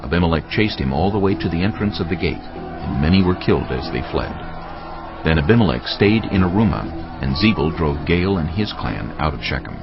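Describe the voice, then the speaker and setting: Very deep, gravelly male Bible narrator. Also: lively intonation, a little fast. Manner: solemn, storytelling. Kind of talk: scripted narration of a dramatised audio Bible. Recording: muffled, band-limited audio with music. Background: music bed.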